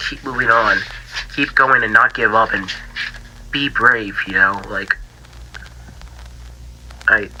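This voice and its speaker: A man voicing a bitter, grieving young pig character in a halting, stammering interview for an analog horror fan drama. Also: fairly low pitch, sharp tone, slight background noise, rough recording. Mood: wistful, sad. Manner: halting, stammering, repeats himself, trailing off. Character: grieving, bitter young male cartoon pig, infection survivor.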